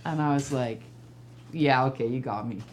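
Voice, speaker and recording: A middle-aged woman casually telling anecdotes in an animated, informal way. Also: a bass voice, moderate pace, a little hiss or hum. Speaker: storytelling guest, a regular host's mother.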